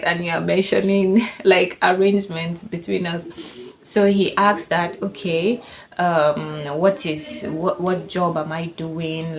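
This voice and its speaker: A woman in her thirties with a Nigerian accent, a Yoruba speaker, telling a personal story in a chatty, casual way with lots of "like" and bursts of laughter. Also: fairly low pitch. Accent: Nigerian English. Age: 30s. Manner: chatty and conversational, lots of "like", laughing while she tells it.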